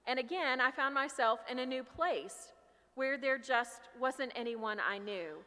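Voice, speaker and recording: A middle-aged American woman pastor delivering a sermon with personal storytelling in a loud, emphatic, projected voice. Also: high voice, a little slow. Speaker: pastor preaching. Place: large church sanctuary, at pulpit. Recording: reverberant large church hall.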